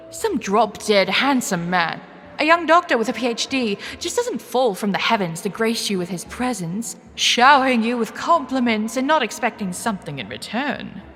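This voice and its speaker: A woman playing a sardonic, wary young woman short of money in an audio drama, with wry, expressive inner monologue. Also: medium pitch, long reverb tail, lightly acted. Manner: wry, expressive, with sighing interjections. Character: young cash-strapped woman, sardonic, wary, inwardly flustered. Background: music.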